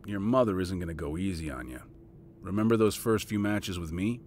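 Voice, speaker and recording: A man voicing a military father in an audio drama, measured, fatherly and encouraging in manner. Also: sits low, darkish tone, a little hiss or hum, close to an everyday voice. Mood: firm, encouraging. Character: gruff but fond military father.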